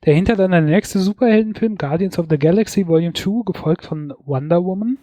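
A man's voice: high-pitched, brisk, mellow, no room sound. A man co-hosting a podcast, chatting casually through a year-in-review of films, hedging with fillers. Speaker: podcast co-host.

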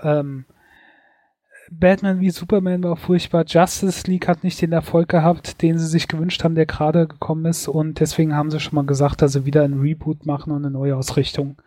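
A man with a somewhat high yet mellow voice talks through films in a relaxed podcast chat. He is opinionated and hesitates often. The recording is dry and close. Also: fairly quick, no room sound. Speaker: podcast host. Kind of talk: casual chat reviewing films.

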